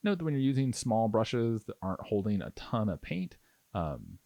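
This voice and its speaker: Low-voiced man giving a casual tutorial, lively intonation. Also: moderate pace, vocal fry, no echo. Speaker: miniature painting instructor.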